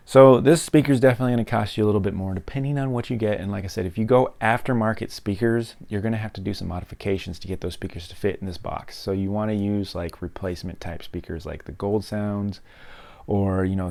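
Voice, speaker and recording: Low gravelly American man, casual reviewer. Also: a little mellow. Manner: informal, rambling, repeats words for emphasis. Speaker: DIY reviewer and presenter. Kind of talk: casual hands-on project walkthrough.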